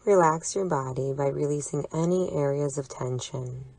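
Female meditation guide, low register, gently instructive and deliberate, in a dry, echo-free recording. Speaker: psychologist leading a relaxation exercise. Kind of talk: guided imagery meditation narration.

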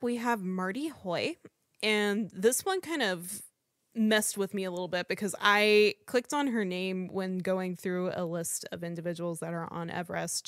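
A woman's voice: mid-range voice, a bit bright, dry recording, studio quality. A woman hosting a podcast, reading a prepared true crime script and apologizing for how she says foreign names. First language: English. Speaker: podcast host.

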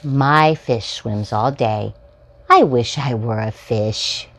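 A woman in her forties reading a children's picture book aloud as a storytime host, in simple, deliberately spaced phrases for preschoolers. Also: a bass voice, fairly bright tone. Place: small furnished room at home, indoors. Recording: home recording with faint background noise.

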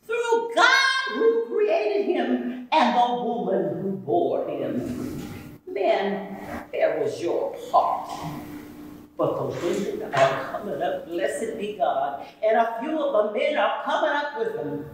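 Picture an impassioned elderly woman orator, read by a woman onstage, her voice rising and falling in wide, lively swings. She speaks slowly, with a preaching cadence. The sound is heard from far off in a very echoey hall. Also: fairly high pitch, a little hiss or hum, voice pushed up. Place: museum hall, indoors. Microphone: far camera. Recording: echoey room, far from camera.

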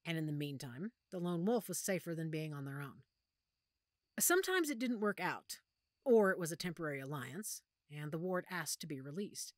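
A woman acting a protective, weary werewolf pack leader in an audio roleplay, speaking softly and measuredly with thoughtful pauses. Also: deep voice, sing-song, no room sound, clean studio sound. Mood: patient, explaining. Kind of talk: audio roleplay drama, one-sided conversation.

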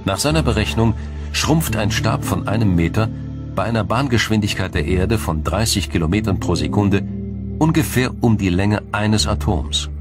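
A man narrating a scripted science documentary in a measured, formal, explanatory manner. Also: fairly low pitch, expressive, over music.

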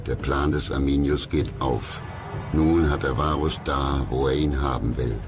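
A man reading scripted history documentary narration in a measured, grave manner. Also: very deep voice, steady tone. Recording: loud music under narration.

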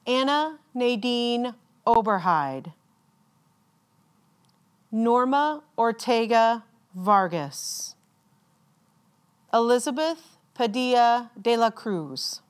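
A woman with a slightly high voice serves as a formal ceremony announcer. She reads names extremely slowly, enunciating each one deliberately and leaving measured pauses. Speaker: commencement announcer reading graduates' names.

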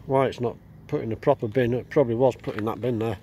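A man giving casual walkaround commentary as a vlogger, halting and thinking aloud as he goes. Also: medium pitch, steady tone, mellow, slightly rough. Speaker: vlogger exploring an abandoned building. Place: outdoors, walking around a building. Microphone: handheld camera mic. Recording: outdoor ambient noise.

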